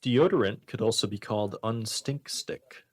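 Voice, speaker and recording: A man's voice: lowish voice, normal speed, no echo. A man hosting a radio comedy show, reading jokes and bantering casually with dry, mock-serious commentary. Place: radio studio.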